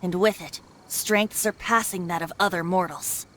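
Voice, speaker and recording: A woman's voice: fairly low pitch, fairly even intonation, moderate pace, noisy background, lightly acted. An actress voicing a playful, carefree young male bard who is secretly a god, with a lilting, teasing way of talking. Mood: explaining. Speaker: voice actor in a game dub.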